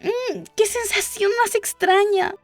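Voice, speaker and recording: A curious little girl from a storybook talks to herself in a high, light voice, acted out by a woman narrator. She muses and gives herself advice like a small governess. Music plays behind her. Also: steady tone, slow pace, no room sound, heavily acted. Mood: amazed. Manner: musing, self-scolding. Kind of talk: storybook character voice.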